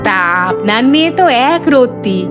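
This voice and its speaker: A woman's voice: fairly high pitch, expressive, normal speed, close to an everyday voice. A woman playing a protective, proud old village mother in a cartoon, homely and folksy in manner. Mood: wary, proud. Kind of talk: Bengali cartoon voice acting. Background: music.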